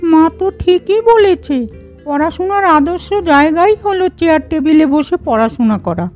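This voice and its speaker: Woman playing a very high-pitched sensible schoolgirl. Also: lively intonation, moderate pace, a little mellow, faint music behind. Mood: earnest, obliging. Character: sensible schoolgirl, dutiful big sister. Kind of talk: children's cartoon dialogue.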